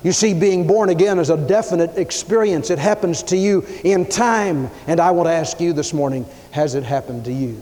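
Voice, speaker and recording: A man preaching a sermon, emphatic, swinging his pitch and loudness as he acts out the people he quotes. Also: normal speed, few pauses. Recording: long hall reverb.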